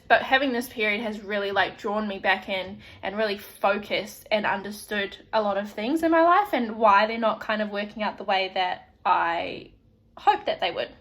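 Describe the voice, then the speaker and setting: A young woman with a New Zealand accent talks casually to her viewers. She rambles and restarts, dropping plenty of "like"s, in a voice that sits a little on the high side. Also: a bit bright. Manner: chatty, rambling, frequent "like" and restarts. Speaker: vlogger. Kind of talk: casual chat to camera.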